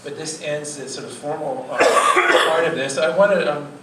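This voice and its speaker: A man's voice: on the high side, normal speed. Male organizer, closing remarks, informal, distant mic, noisy roomy hall. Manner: informal, with restarts. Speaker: event organizer. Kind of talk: closing announcements. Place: cafe event space. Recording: echoey room, far from mic, loud noisy crowd.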